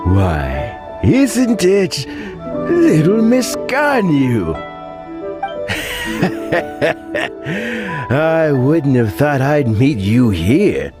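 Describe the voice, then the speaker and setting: A man's voice: on the high side, huge pitch swings, extremely slow, pauses often, music in the background, full character voice. An actor playing a kindly, modest old statesman in a video game, chatty and chuckling. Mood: genial. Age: older.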